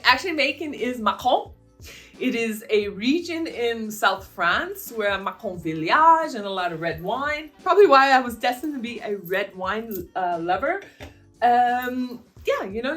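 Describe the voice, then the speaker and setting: A woman in her fifties from the United States, chatting casually through a Q&A with her viewers, rambling a little and filling pauses with "um" and "uh". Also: sits high, a bit bright. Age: 50s. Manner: chatty and meandering, with frequent fillers. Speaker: vlogger answering viewer questions. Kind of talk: casual Q&A monologue.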